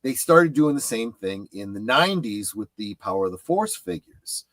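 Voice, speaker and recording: A middle-aged man chatting casually about collecting, explaining things in a halting way. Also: lowish voice, big pitch swings, fairly bright tone, no echo.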